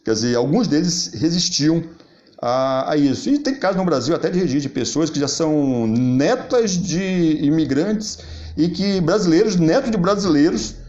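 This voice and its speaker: A man's voice: on the high side, animated, normal speed, a little mellow. A man giving loose spoken commentary, circling back with frequent restarts and self-corrections. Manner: rambling, many restarts and "quer dizer". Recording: dull lo-fi recording.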